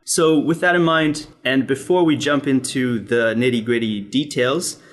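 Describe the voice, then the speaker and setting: Man hosting a podcast, mid-pitched voice. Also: a little slow. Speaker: podcast host. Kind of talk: podcast intro and interview questions. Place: sit-down interview in Shenzhen.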